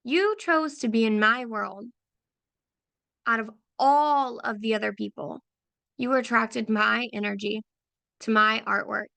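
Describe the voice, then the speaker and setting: A woman leading a guided visualization in a soft, unhurried, affirming way, often repeating phrases. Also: fairly high pitch, vocal fry, no room sound. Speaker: meditation guide for creative business owners.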